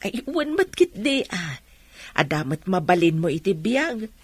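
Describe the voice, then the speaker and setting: A woman's voice: on the low side, big pitch swings, unhurried, husky, dry recording, exaggerated voice. An actress with a Filipino accent playing a concerned, friendly village woman in a radio drama. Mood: reassuring.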